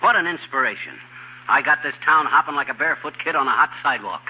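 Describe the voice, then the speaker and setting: An actor playing a boastful, scheming small-town husband who wisecracks in slangy talk in a 1940s radio comedy. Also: male voice, on the high side, expressive, fast talker, narrow, tinny audio, close to an everyday voice. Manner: brash, slangy, cheerfully bragging. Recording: thin, noisy 1940s radio broadcast.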